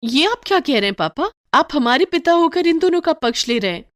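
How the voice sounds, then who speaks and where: A woman's voice: sits high, very animated, fairly quick, voice pushed up. A woman voicing a haughty, spoiled rich young wife who complains indignantly, in a Hindi moral story cartoon. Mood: angry, whining.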